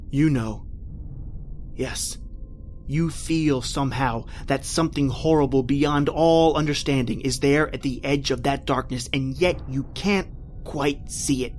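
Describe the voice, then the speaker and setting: An actor playing a terrified, desperate man recounting his flight from a monster, in intense old-time radio horror style with drawn-out, repeating phrases. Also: on the high side, lightly acted. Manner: intense, breathless repetition, drawn-out dramatic phrasing. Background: music.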